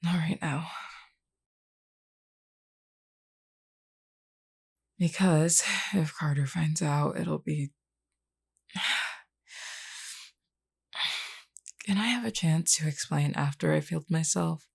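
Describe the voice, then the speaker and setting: A woman's voice: low voice, unhurried, creaky, darkish tone, slightly rough, exaggerated voice. A woman voicing a flirty, wounded female werewolf, teasing and weary, sighing through pain in an intimate audio roleplay. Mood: strained, tired. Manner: teasing, sighing, calls listener hun.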